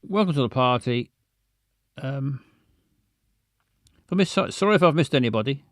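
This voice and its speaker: A man's voice: medium pitch, animated, moderate pace, dry recording. Rambling man hosting a stream. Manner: stammering, rambling, self-mocking. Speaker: livestream host. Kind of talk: casual chat with viewers.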